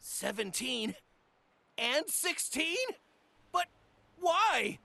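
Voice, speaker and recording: A woman voicing an earnest, feisty little male martial artist in an anime game, high and scratchy. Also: very slow, gravelly, full character voice. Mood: puzzled, wary. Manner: excitable, earnest. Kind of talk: dubbed anime game voice acting. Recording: music under the voice.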